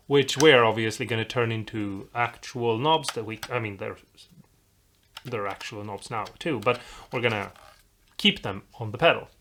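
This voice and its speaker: DIY video host from Europe: informal, mid-pitched, encouraging, rambles through step-by-step instructions. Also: fairly bright tone, a little hiss or hum. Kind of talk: casual tutorial, talking viewers through a build.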